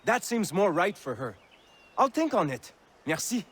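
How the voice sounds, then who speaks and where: A man playing an anxious, lovesick settler in a video game, with a French accent and an excitable manner. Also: sits high, unhurried, slightly rough, heavily acted. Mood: fretful. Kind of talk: video game cutscene acting.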